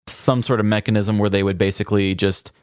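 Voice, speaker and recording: A man's voice: fairly low pitch, flat delivery, a little fast, a little mellow, clear, resonant tone, no echo, clean studio sound. A man co-hosting a podcast in casual chat, with an informal, joking way of talking. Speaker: podcast co-host.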